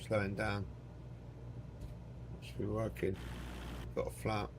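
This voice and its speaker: A man's voice: lowish voice, level tone, slow. A man streaming a flight simulator game, thinking aloud to himself and his chat, joking and swearing as he goes. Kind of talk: casual live commentary while playing a flight simulator. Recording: loud engine noise from the game.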